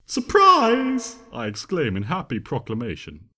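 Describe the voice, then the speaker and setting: A man reading fan fiction as an expressive, theatrical first-person narration. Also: mid-range voice, normal speed. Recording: long hall reverb.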